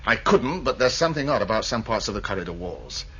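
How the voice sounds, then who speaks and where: A man's voice: lowish voice, very animated, fast, fairly bright tone, husky, lo-fi sound, heavily acted. An actor playing a cold, scheming, confident crewman in a science fiction radio drama, terse, calculating and dismissive.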